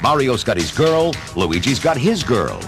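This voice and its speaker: A man narrating an audio drama from a script, with expressive, dramatic storytelling delivery. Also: medium pitch, unhurried, music in the background.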